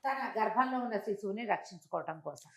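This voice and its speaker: A woman's voice: mid-range voice, animated, a little fast. A woman storyteller with a mid-range voice. She narrates a moral tale in a conversational way, explaining details as she goes. She often repeats phrases and slips into dialogue. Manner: explanatory, uses repetition and acts out dialogue in passing.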